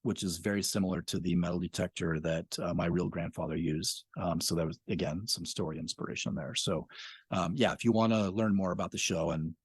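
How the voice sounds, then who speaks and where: A man's voice: low-pitched, fairly even intonation, fairly quick, breathy edge, no echo, clean studio sound. An American man chatting casually as an interview guest, with an easygoing, self-effacing storytelling way of talking. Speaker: podcast guest, audio drama creator.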